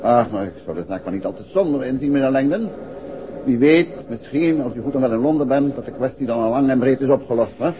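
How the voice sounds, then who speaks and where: An actor playing a courteous, composed gentleman detective with a polite, measured, questioning manner in a 1960s Dutch radio drama. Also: mid-range voice, natural voice. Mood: reassuring. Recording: dull, slightly noisy vintage radio recording.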